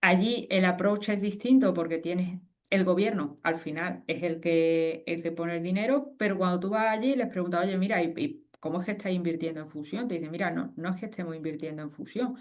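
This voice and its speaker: A woman, a guest expert speaking in a conversational webinar interview, animated and explanatory with frequent fillers and restarts. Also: fairly low pitch, fairly quick, hardly any pauses. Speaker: guest expert on fusion energy.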